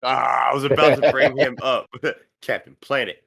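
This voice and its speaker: A man's voice: mid-range voice, steady tone, normal speed, creaky, a bit bright, husky, no echo. A man chatting casually as a cast member on a group commentary. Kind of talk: casual chat.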